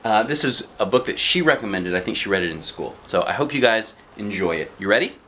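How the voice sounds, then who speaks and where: A man reading a picture book aloud as a dad does, in expressive narration with casual asides. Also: fairly low pitch, a little fast, slight background noise.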